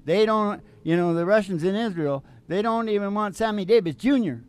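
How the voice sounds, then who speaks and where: A man's voice: high-pitched, moderate pace, clear, resonant tone. A man co-hosting a radio show in casual comedic chat, rambling and opinionated in a folksy way, with acoustic guitar. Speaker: singing radio show co-host. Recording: guitar playing behind the voice.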